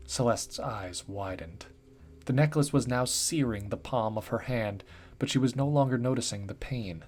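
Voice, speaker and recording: A man reading a dark fantasy story aloud as narrator, steady and dramatic. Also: mid-range voice, moderate pace, soft background music.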